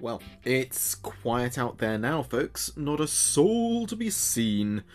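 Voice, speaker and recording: A man plays a chatty late-night radio DJ in an audio drama. His mid-pitched voice swings in lively, theatrical turns, wry and playful, with music behind him. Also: slow. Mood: composed. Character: chatty, jokey male small-town late-night radio host. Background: background music.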